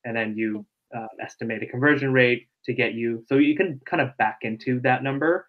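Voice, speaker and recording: A man's voice: mid-range voice, a little fast, phone-quality sound. A man presenting a marketing webinar over a video call, explaining loosely with lots of fillers. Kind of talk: casual live webinar teaching.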